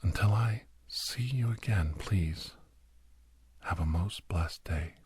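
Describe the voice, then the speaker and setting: A man tells a horror story in breathy ASMR whispers, solemn, dramatic and deliberate, close to the microphone. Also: deep voice, darkish tone. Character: male doctor solemnly recounting a werewolf horror tale.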